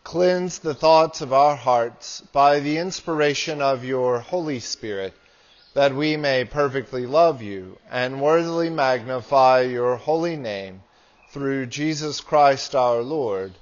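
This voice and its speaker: A man in his thirties, a priest reading prayers and scripture in a solemn, measured liturgical style. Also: medium pitch, lo-fi sound. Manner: solemn, measured, deliberate phrasing. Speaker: priest leading a church service. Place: small church with hard walls.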